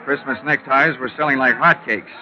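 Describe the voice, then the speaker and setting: Wry, flirtatious American private investigator played by a man, radio-drama narration, deadpan delivery, dull vintage recording. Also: medium pitch, moderate pace, noisy background, natural voice. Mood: dry, probing. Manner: deadpan, wisecracking. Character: wry, flirtatious male insurance investigator, hard-boiled wisecracker. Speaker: lead actor and narrator of a detective serial. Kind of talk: old time radio drama acting, first-person narration. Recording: muffled vintage radio recording, music behind.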